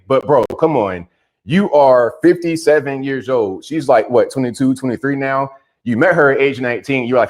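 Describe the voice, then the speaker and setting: A man giving opinionated commentary on a news story, talking bluntly and directly. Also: medium pitch. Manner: direct, addresses subjects bluntly.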